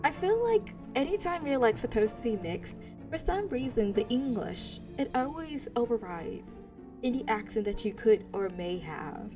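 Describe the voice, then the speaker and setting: A woman's voice: fairly high pitch, sing-song, normal speed. Chatty American woman streaming a game. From United States. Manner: chatty, playful, talks to chat. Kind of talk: casual game commentary, reading story text aloud. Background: game music.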